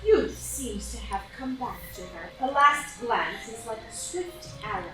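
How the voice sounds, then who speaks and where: A woman narrates stage directions for a drama with animated, varied intonation. She sounds a little far from the mic in a very echoey room. Music plays clearly behind her. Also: on the high side, unhurried.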